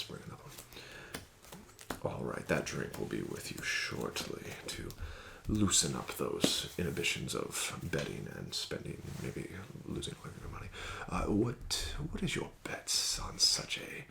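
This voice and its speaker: Gravelly low-voiced man, slow ASMR dealer. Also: slight background noise. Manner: smooth, flattering, unhurried dealer patter. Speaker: casino dealer. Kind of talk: ASMR roleplay.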